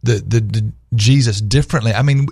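A man's voice: medium pitch, fairly quick, darkish tone, husky, no echo, clean studio sound. A man speaking as a guest on a radio talk panel, earnestly telling stories and posing rhetorical questions in conversational religious discussion.

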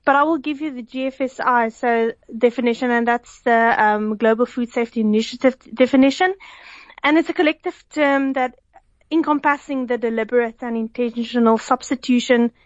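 A woman's voice: high voice, dry recording. A South African woman, a food fraud researcher, speaking as a guest in a radio phone interview, explaining at length with restarts and often giggling. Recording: dull phone line.

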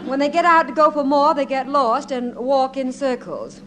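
An actress playing a skeptical, practical wife with a dry, pointed manner, in 1950s radio comedy style. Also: female voice, sits high, animated, moderate pace, a bit bright. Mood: dry needling. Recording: faintly noisy 1950s radio recording.

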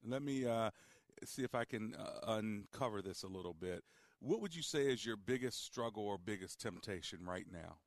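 A man hosting a call-in radio show, speaking calmly and deliberately as he guides a caller. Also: on the low side, no room sound. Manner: calm, deliberate, guiding. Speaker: radio talk show host. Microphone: studio microphone.

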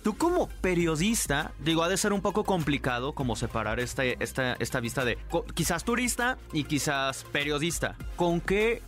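An eager male radio host, his voice pitched unusually high. He gushes and tumbles over repeated words as he questions his guest, with quiet music under him. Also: very animated, normal speed. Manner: enthusiastic, stumbling repeats. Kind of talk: radio show interview.

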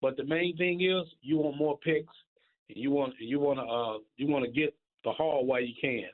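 A man's voice: mid-range voice, fairly even intonation, normal speed. A man calling in to sports radio, giving casual fan opinions over the phone. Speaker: radio call-in guest. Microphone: phone call. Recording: thin, narrow-band phone line.

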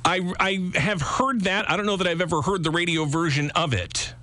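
A man's voice: high-pitched, normal speed, pushed and bright, dry recording. A man hosting a conversational radio interview in a close, studio-like setting.

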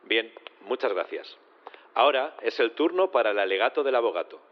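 An actor playing a formal judge presiding over a trial in an audio drama. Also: male voice, mid-range voice, very animated, a little fast, lightly acted. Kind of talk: formal courtroom address in audio fiction. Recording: echoey hall, noisy, slightly muffled.

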